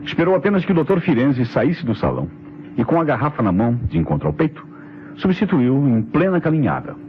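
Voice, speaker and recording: A Brazilian man playing a methodical, authoritative police inspector in an old radio mystery, explaining in a deliberate, declamatory way. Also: a little high, sing-song, vocal fry. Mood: assured, expository. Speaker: radio drama actor. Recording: dull, noisy vintage radio recording.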